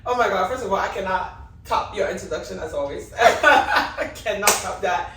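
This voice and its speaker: A woman's voice: mid-range voice, expressive, normal speed. A woman in her twenties from Ivory Coast and Benin, a native French speaker, chatting as a guest and giggling often. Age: 20s. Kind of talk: casual chat. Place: indoor room with a sofa. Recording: echoey room, distant mic, faint hiss.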